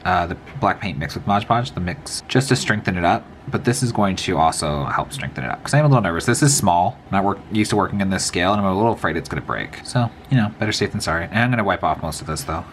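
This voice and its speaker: A man's voice: sits low, lively intonation, fast talker, hardly any pauses, a little hiss or hum. A man from the American Midwest, sniffly with a cold, talking to himself in a casual crafting vlog as he works. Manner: chatty, self-talking, rambling asides while working. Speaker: miniature crafter.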